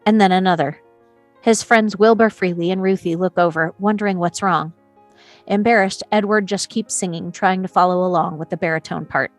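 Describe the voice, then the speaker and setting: Lower-voiced woman reading screenplay directions. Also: fairly even intonation, normal speed, no echo, faint music behind. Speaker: stage directions reader. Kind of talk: scripted narration of screenplay action.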